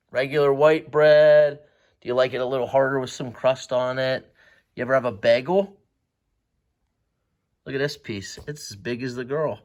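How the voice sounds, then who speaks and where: A man talks casually to young listeners in a conversational way, putting simple questions to them. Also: mid-range voice.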